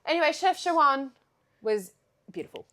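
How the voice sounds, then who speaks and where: Animated, high-pitched young Australian woman. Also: moderate pace. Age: young adult. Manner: giggly, animated, slangy, acts out quotes. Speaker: podcast co-host. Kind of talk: casual chat, travel storytelling.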